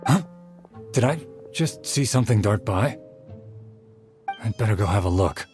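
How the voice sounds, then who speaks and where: A man's voice: fairly low pitch, lively intonation, measured pace, darkish tone, soft background music. A man voicing a puzzled, cautious guard in a video game, muttering bemusedly to himself.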